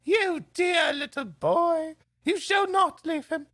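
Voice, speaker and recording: Man putting on a high, fluttery posh English auntie. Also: takes it slowly, fairly bright tone, no room sound, clean studio sound, full character voice. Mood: doting. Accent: put-on British. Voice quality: falsetto. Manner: theatrical, exclamatory. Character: posh, gushing Englishwoman, a doting aunt.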